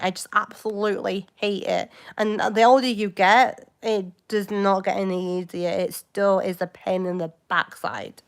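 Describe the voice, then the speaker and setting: A young woman in her late twenties talks to her livestream viewers in a loose, meandering way. She hesitates often and hops from topic to topic. Her voice sounds smooth and clear, at a middling pitch for a woman. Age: 20s. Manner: rambling, hesitant, jumps between topics. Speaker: livestream host. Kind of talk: casual live chat with viewers.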